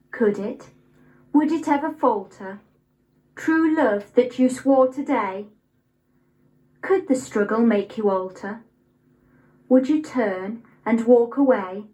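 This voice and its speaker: A young woman of eighteen with an English accent, reciting poetry in a measured, deliberate, rhythmic way. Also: high voice, low-quality recording. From England. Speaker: poetry reader.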